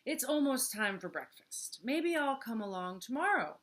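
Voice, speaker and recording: A woman's voice: a little high, sing-song, normal speed, fairly bright tone, pitched above the performer's own voice. A woman doing a sleepy, reluctant goose. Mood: drowsy. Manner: mild and drowsy.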